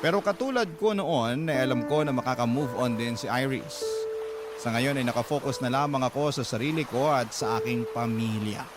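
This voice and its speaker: A man playing a sincere, wistful married letter writer, narrating his own story for a radio drama in a measured, earnest way with pauses. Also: medium pitch, lightly acted. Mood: wistful, hopeful. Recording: loud music bed behind voice.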